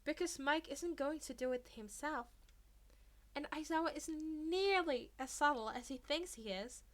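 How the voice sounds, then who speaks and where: Woman doing a mischievous teen schoolboy for a story, high pitched, chatty, excitable n cheeky. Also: measured pace. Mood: scheming.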